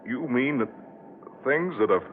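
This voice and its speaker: A man plays a doubting, bewildered motorist in a vintage radio thriller. His plain, questioning speech rises and falls in an animated way. The sound is thin and tinny with slight background noise. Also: mid-range voice, measured pace, close to an everyday voice. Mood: skeptical. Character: skeptical, bewildered red-haired male motorist. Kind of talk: 1940s radio drama acting. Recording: tinny, lightly noisy vintage radio recording.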